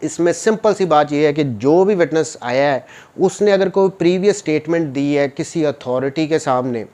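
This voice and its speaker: A man with a Pakistani accent and a slightly high-pitched voice speaks as a lecturer. He explains material informally and didactically, going back over points in simpler words. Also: lively intonation, normal speed, warm tone. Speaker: law lecturer. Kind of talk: explanatory lecture, reading statutes in English and explaining them informally.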